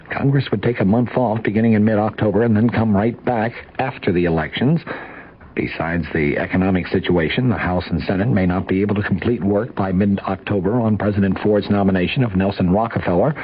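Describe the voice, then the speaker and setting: A man's voice: lowish voice, a little fast, slightly rough, lo-fi sound. An American man reading a scripted news report as a radio newscaster on a vintage broadcast.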